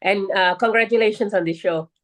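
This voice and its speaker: A woman's voice: mid-range voice, a little fast, a bit bright. A woman from Malaysia, a guest in an interview, telling her story earnestly with frequent fillers and restarts.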